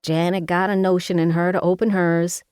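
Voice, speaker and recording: A woman's voice: fairly low pitch, little pitch movement, normal speed, a little mellow, clear, resonant tone, dry recording, studio quality, close to an everyday voice. A woman voicing a fussy, outspoken older mother, talking in a folksy, scolding way. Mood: fussing.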